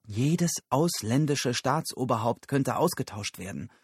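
A man's voice: medium pitch, expressive, a little slow, breathy edge, dry recording, clean studio sound, pitched above the performer's own voice, full character voice. A man voicing a furious, combative woman with agitated, emphatic outbursts, in an audiobook story. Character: furious, combative woman activist, fiery and urgent.

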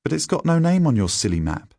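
A man's voice: a little high, sing-song, quick pace, warm tone, dry recording, studio quality, voice pushed up. A man voicing a rude, headstrong British schoolboy Scout in a storybook, brash, scoffing and impatient. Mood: defiant.

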